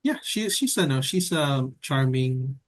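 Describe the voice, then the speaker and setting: A young man from the Philippines with a Filipino accent, talking casually in an interview in a hesitant, measured way with frequent pauses. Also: mid-range voice, lively intonation. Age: young adult. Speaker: editorial cartoonist being interviewed.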